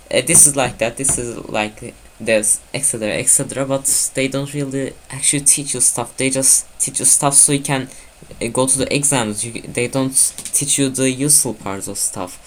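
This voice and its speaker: Man, let's play commentary, rambling, mid pitch, slight background noise. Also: normal speed. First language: Turkish. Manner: rambling, self-correcting rant. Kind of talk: casual gameplay commentary.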